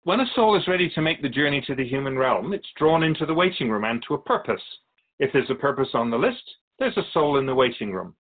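A man playing a calm otherworldly spirit guide in a radio drama, explaining things in a measured, quizzical way as an interview guest. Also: mid-range voice, phone-quality sound. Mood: explanatory, playful. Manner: measured, explanatory, quizzical about human speech.

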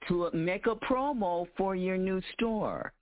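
A man's voice: sits high, slow. A man co-hosting a radio show, patiently explaining and repeating himself. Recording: tinny phone-like line.

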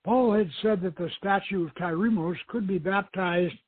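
A man's voice: sits high, normal speed, slightly rough, no echo. A man who hosts the show, giving a dramatic reading of a novel. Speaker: host reading a novel aloud. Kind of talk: dramatic audiobook-style reading. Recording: thin, phone-like radio line.

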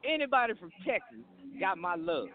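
A man trades jabs on a sports talk show, talking over the others to make his point. His voice sits a little high and swings widely. The sound is thin and tinny, like a phone call. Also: fairly quick, a loud crowd. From Arizona. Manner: animated, talks over others. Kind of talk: heated sports banter. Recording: phone-line audio.

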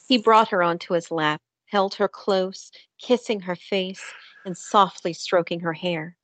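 A woman's voice: lowish voice, measured pace, a bit bright, no room sound. A woman reading scripted narration as the storyteller of an audio drama.

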